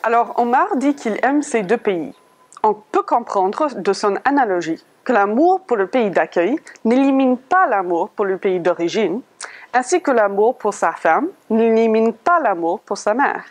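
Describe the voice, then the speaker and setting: A woman giving a scripted virtual museum tour, reading a prepared text and putting questions to the listener. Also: medium pitch. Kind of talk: scripted guided-tour narration.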